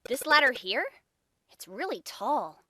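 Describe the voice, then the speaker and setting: A woman voicing a curious, hesitant young schoolgirl adventurer in a game fan dub, questioning and expressive. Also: a little slow, no echo, clean studio sound, exaggerated voice. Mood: curious, unsure. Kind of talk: scripted fan dub acting. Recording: rumbling noisy home recording.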